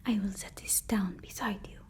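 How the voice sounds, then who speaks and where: A woman playing a fawning, sinister assistant to an evil overlord in an ASMR roleplay, whispering breathily with slow, servile relish. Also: mid-range voice, fairly even intonation, close to an everyday voice. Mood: eager to please. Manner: obsequious, slow, drawn-out, gleefully servile.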